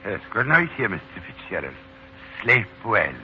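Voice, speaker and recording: Wily Irish leprechaun manservant, lively pitch. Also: male voice, normal speed, loud music behind the voice. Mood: obsequious, evasive. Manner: deferential, sly, theatrical. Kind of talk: 1940s radio acting. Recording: muffled, hissy 1940s radio broadcast.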